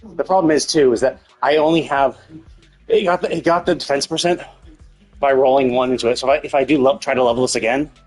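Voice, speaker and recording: A man giving casual, unscripted gameplay commentary on a live stream, rambling and thinking out loud in a husky voice. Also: medium pitch, fast, soft background music.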